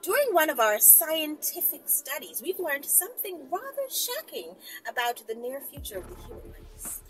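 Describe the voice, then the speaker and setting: Woman, fairly high voice with very lively pitch, brash and teasing casual storytime vlogger, music behind her. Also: moderate pace, rough recording. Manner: brash, profane, teasing, with frequent tag questions and asides. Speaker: vlogger telling and reading stories to viewers. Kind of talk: casual direct-to-viewer chat and storytime.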